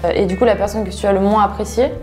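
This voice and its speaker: Mellow woman asking casual questions. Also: mid-range voice, steady tone, very fast, faint music behind. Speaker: off-screen interviewer.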